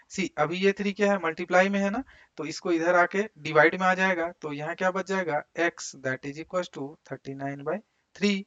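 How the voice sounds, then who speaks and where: Casting: Indian-accented man, higher voice, school lecturer who explains in steps and keeps asking check questions; slightly dull lo-fi sound. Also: a bit bright. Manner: explains step by step with frequent rhetorical questions and check words. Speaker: maths teacher. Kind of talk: school maths lecture in Hindi mixed with English. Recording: dull lo-fi recording.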